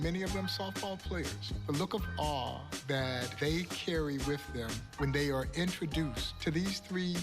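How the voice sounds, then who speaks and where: An American man narrating documentary-style stories with emphatic, folksy oratory. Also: on the high side. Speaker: baseball museum storyteller. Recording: music bed under the voice. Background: loud background music.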